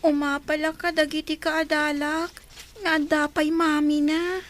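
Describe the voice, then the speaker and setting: A woman's voice: sits high, little pitch movement, a little slow, a bit bright. A woman with an Ilocano accent voicing a sad young child who longs for a parent in a radio drama. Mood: sad, longing. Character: sad young child longing for absent mother.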